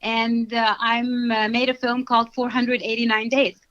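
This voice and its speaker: Woman from egypt calling in, higher voice, measured, dull phone sound. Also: flat delivery, fairly bright tone. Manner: measured, with frequent "um". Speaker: documentary filmmaker. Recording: muffled phone line.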